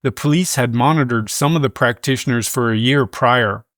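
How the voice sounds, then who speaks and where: A man reading scripted news in a measured, formal manner. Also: medium pitch, fairly bright tone, dry recording, studio quality. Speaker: news reader.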